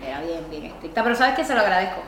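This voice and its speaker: Fast, earnest woman in an echoey room. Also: lowish voice, animated, fairly bright tone, a little hiss or hum. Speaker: lawyer and singer, guest. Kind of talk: casual chat.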